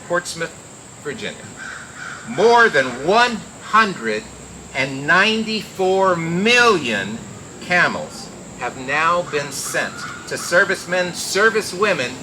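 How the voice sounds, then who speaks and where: Give the voice of a man playing a smooth 1940s radio leading man and pitchman. His pitch sits a little high and he takes his time. It comes through a noisy live recording. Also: pushed and bright, full character voice. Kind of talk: 1940s radio acting, old-time sponsor announcement.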